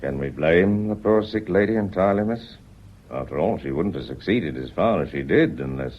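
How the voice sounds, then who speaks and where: An actor playing a shrewd, courteous police inspector in a British radio drama, deliberate and deferential as he explains and soothes. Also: deep voice, animated, a little hiss or hum. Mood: measured, courteous. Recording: dull muffled lo-fi audio.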